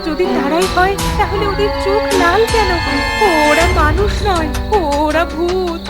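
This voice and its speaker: A woman's voice: very high-pitched, steady tone, measured pace. A woman playing an easily frightened young woman in a horror cartoon, fretful and exclaiming. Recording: loud music over the voice.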